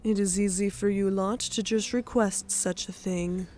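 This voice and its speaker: A woman's voice: mid-range voice, fairly even intonation, a little slow, darkish tone, slight background noise, lightly acted. A woman playing a proud, grudging warrior goddess with a blunt manner in an audiobook drama. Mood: irritated. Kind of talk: audiobook character acting.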